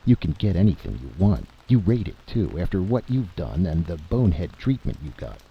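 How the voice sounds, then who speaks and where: A man voicing a brisk, slangy, cheerful army general in a story reading. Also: deep voice, big pitch swings, breathy edge, dull audio, full character voice. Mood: hearty, pleased. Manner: slangy, blunt, expansive. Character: brisk, happy, plain-spoken male army general.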